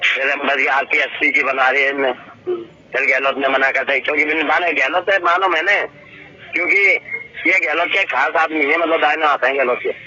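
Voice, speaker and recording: A man's voice: on the high side, steady tone, moderate pace, bright, forceful tone. A man with an eastern Rajasthan Bharatpur accent chatting casually and boastfully, rambling and repeating himself on a recorded phone call. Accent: eastern Rajasthan (Bharatpur) regional Hindi.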